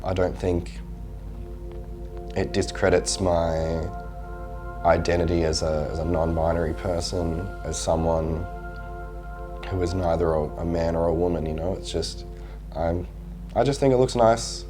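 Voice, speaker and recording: A man speaking hesitantly in a documentary interview, with pauses and restarts. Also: deep voice, steady tone, music in the background.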